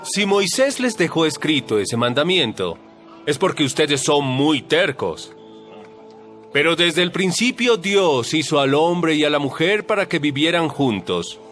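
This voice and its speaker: A calm, authoritative holy teacher in an audio Bible drama. He delivers solemn, measured lessons with widely varied intonation and firm emphasis. Soft music plays behind him. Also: on the high side. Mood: firm teaching.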